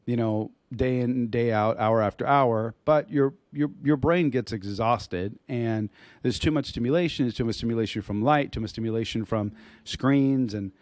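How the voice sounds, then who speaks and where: A hoarse man on a podcast, speaking at a middle pitch with his voice worn down. He rambles, restarts his sentences and clears his throat now and then. The sound is dry and close, with no room around it. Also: normal speed, darkish tone, dry recording. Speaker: podcast co-host. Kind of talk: casual podcast chat.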